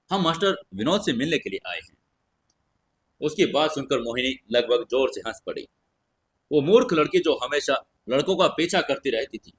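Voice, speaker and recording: A male storyteller narrates a scripted fantasy audio drama. His voice sits at an ordinary middle pitch with a slightly husky grain. The delivery is that of dramatic story narration.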